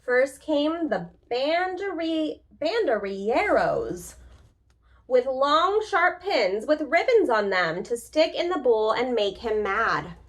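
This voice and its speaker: Higher-pitched woman reading a storybook aloud. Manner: expressive, pauses to ask listeners questions; informal, chatty explanations. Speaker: preschool teacher reading a picture book aloud. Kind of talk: children's storybook read-aloud with casual asides.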